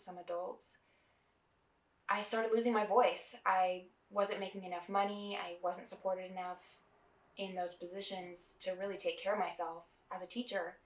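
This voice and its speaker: A young woman from New Mexico, a singer-songwriter, reflecting in an earnest, measured documentary interview. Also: fairly low pitch. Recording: roomy, distant mic.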